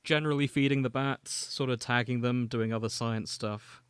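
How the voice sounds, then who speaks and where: A man's voice: mid-range voice, fairly even intonation, normal speed, fairly bright tone, clear, resonant tone, no echo. A man co-hosting a podcast, chatting casually about fanfiction and reading passages aloud. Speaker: podcast co-host.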